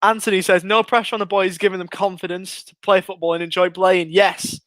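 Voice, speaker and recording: A young English man hosting a live fan watchalong, commentating on a match and reading out viewer comments, excitable and chatty, his voice going hoarse. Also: high voice, a little fast, no room sound. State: losing his voice.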